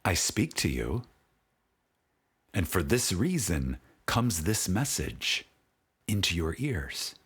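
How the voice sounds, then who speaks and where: A man with a fairly low, husky voice reads a spiritual audiobook. His delivery is solemn and dramatic, with wide rises and falls in pitch. He takes his time, setting phrases apart with long pauses. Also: very animated, fairly bright tone. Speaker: audiobook narrator.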